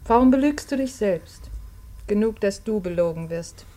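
Actress as earnest young tavern keeper's daughter. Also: female voice, mid-range voice, animated, moderate pace, dark tone, close to an everyday voice. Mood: bitter, earnest. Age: young adult. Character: lonely, candid young daughter helping in father's tavern.

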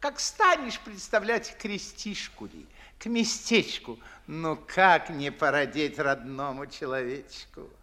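An older man playing a fawning, garrulous Moscow gentleman in a 1950s radio verse comedy, with effusive theatrical declamation. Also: fairly high pitch, lively intonation, slow pace, hardly any pauses, heavily acted.

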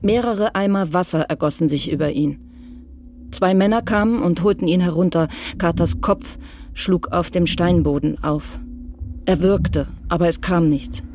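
A narrator reading a crime radio play in a measured, even way. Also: mid-range voice, noisy background. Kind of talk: scripted narration.

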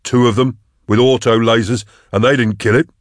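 A man's voice: deep voice, measured pace, no echo, studio quality. A man voicing a cynical, defeatist hunter in an audiobook, speaking in terse, exasperated, emphatic bursts. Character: bitter, fed-up male hunter, cynical and defeatist.

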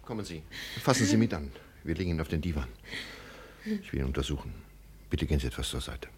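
An actor playing a sober, matter-of-fact hospital doctor with a husky voice in a vintage radio drama. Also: male voice, extremely low pitch, sing-song, fast, darkish tone. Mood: calm, businesslike. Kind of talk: 1950 German radio drama acting.

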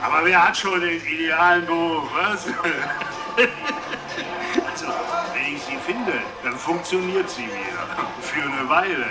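A man's voice: fairly high pitch, a little slow. An actor playing a menacing, brutish stepfather in a radio drama, mocking and drawing out his words with cackling laughter. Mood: jeering, menacing. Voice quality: cackling laughter through the speech. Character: sneering, menacing, brutish stepfather of a young delinquent. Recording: distant voice under loud music.

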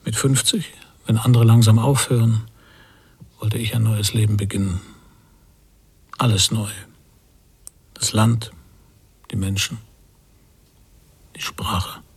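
An actor playing a weary man of fifty in a literary radio drama, husky and gravelly, brooding and deliberate in his telling. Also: lowish voice, little pitch movement, exaggerated voice. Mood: wistful, resigned. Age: 50s. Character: weary man of fifty, an emigrant struggling to learn English.

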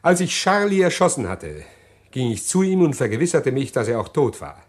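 An actor playing a middle-aged man who calmly confesses a murder plot in an old radio play, measured and hesitant. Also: medium pitch, natural voice. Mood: calm confessing. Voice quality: measured, deliberate, hesitant with pauses. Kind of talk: 1950s radio drama acting.

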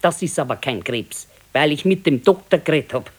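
An actress playing a practical, modest old wife with a Bavarian accent, admonishing and matter-of-fact in a radio play. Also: female voice, sits low, animated, brisk, breathy edge. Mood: dismissive, reassuring. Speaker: radio drama actor. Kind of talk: 1970s naturalistic dialect radio play.

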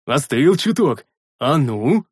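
A man voicing a huge, boisterous warrior who bellows in rough, folksy speech. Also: medium pitch, big pitch swings, slow, a little mellow, no room sound, studio quality, heavily acted.

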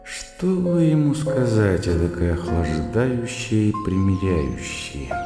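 An actor playing a reserved, condescending intellectual gentleman in a radio drama, measured and reasoning in manner. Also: low-pitched, sing-song, heavily acted. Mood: wry inner musing. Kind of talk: radio drama acting, inner thoughts and dialogue. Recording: echoey reverberant sound over clear music.

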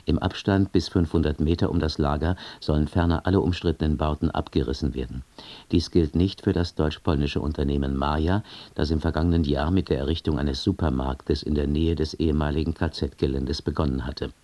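A man's voice: very deep voice, steady tone, brisk, warm tone. A man reading a scripted radio news bulletin in standard German from Germany, in a formal, sober manner. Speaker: radio newsreader.

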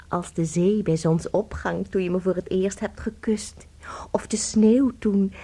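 A woman acting in a radio play as an adoring, excitable wife, cooing and theatrical. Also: on the low side, slightly rough. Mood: dreamy, intimate. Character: adoring, gushing wife, tender and excitable, babying her tiny husband.

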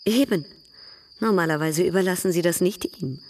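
An actress in a radio drama playing a proud baroness who speaks formally, with pointed, direct questions. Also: female voice, lowish voice, normal speed, darkish tone, natural voice. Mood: cool, probing. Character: proud, probing baroness, coolly direct and teasing.

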